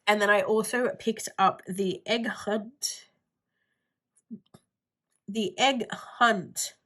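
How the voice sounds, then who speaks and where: A woman's voice: mid-range voice, steady tone, very slow, a bit bright. Stuffy nosed woman chatting about her planner stuff, kinda nasal, rambly, lots of like. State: stuffy nose, sniffly. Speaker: planner vlogger. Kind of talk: casual haul video chat.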